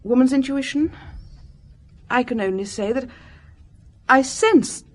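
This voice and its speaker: An actress playing a prim, anxious, conscientious British secretary in a radio drama, formal and earnest. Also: female voice, high voice, slow pace.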